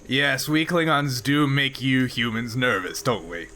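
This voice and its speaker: A man voicing a gruff older Klingon miner in a tabletop roleplay, blunt, matter-of-fact and unbothered. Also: medium pitch, expressive, a little slow, pushed and bright. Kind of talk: improvised tabletop roleplay acting.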